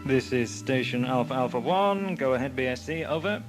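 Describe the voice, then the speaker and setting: Casting: male actor as an earnest spaceman, medium pitch, radio-drama style, loud music all over an old tape. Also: expressive, moderate pace, close to an everyday voice. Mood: matter-of-fact. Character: male astronaut aboard a space station. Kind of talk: science fiction audio drama acting.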